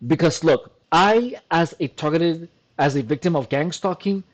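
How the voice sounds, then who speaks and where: A man's voice: fairly high pitch, moderate pace, rough recording. A man hosting his own channel, talking straight to his viewers in an emphatic, repetitive way with frequent restarts. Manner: emphatic, repeats "look" and restarts often. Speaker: channel host. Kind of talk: casual talking-to-camera monologue.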